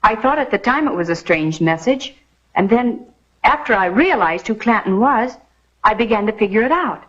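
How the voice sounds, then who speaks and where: An American actress playing an earnest, wistful young blind telegraph operator in an old TV western. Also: female voice, fairly high pitch, animated, normal speed, a bit bright, husky. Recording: dull vintage TV western soundtrack.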